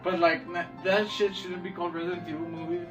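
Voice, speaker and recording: A man's voice: sits high, level tone, fast. A man co-hosting a podcast in casual, slangy chat about movies and games, swearing and saying dude often. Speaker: podcast co-host. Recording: distant mic with music playing behind.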